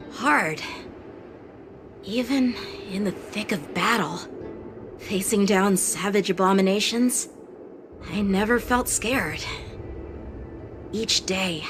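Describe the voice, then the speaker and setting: A woman voicing an earnest, serious young boy sword apprentice in a game cutscene, speaking in a reflective, measured way. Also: medium pitch, background noise, natural voice. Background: game score music.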